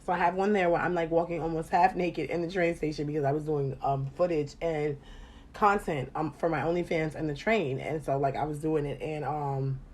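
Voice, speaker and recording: Animated profane woman podcast host. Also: brisk. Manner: animated, profane, swings loud and high when excited. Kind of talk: casual chat.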